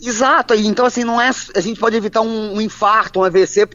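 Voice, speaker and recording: A woman's voice: fairly high pitch, steady tone, a bit bright, no room sound. Woman doctor explaining plainly. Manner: measured, explains in plain terms with frequent restarts. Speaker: physician guest. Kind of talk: explanatory interview answers. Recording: dull, lo-fi, dry sound.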